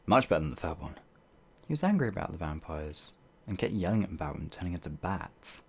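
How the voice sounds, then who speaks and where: An actor playing a bewildered, humble werewolf outlaw in an ASMR fantasy roleplay, with halting, old-fashioned speech and a husky voice. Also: sits low, sing-song, full character voice. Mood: content, chatty. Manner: halting, flustered, pleading, old-fashioned wording.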